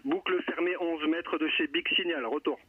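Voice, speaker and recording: A man's voice: on the high side, steady tone, normal speed, slightly rough, no echo. A friendly, courteous man operating a portable amateur radio outdoors, chatting casually into a wireless microphone. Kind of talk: casual radio contact chat. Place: outdoors, portable station on a hilltop. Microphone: wireless Bluetooth microphone. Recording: thin, phone-like band-limited sound.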